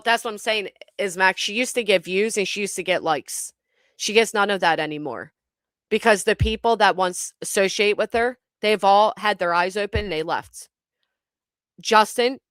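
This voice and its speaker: A woman's voice: mid-range voice, moderate pace, fairly bright tone, dry recording. Woman, reaction commentary host, blunt and scornful, dry close sound. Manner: blunt, scornful, swears freely.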